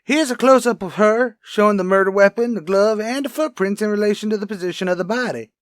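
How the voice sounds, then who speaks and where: A man playing a wry, chatty police lab technician in a radio crime drama, brisk and casual. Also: sits high, dry recording, studio quality, natural voice. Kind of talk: old-time radio crime drama acting.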